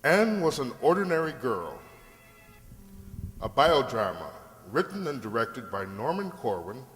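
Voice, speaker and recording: Male actor as old-time radio announcer, formal, mid-pitched, very animated, reverberant hall. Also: unhurried, soft background music. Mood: formal, proclaiming.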